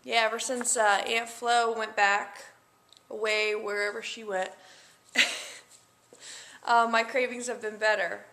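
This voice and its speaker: A woman's voice: on the high side, flat delivery, slow, bright, forceful tone. A young adult woman chatting casually to camera in a diary-style vlog, rambling with lots of fillers. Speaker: vlogger.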